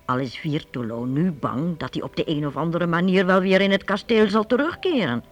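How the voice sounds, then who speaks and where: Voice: mid-range voice, fairly quick. A storyteller narrating a radio play for young people, addressing listeners directly and expressively.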